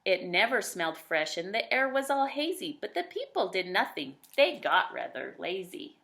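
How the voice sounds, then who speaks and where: A woman's voice: medium pitch, sing-song, normal speed, gravelly, a bit bright. A woman reading aloud expressively in the style of a children's storybook.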